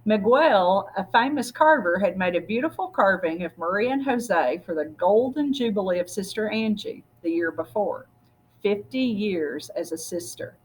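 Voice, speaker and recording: A woman with a slightly husky voice reads a picture book aloud, speaking directly to her listeners as a storyteller. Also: medium pitch, lively intonation, normal speed, slight background noise, low-quality recording. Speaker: story reader.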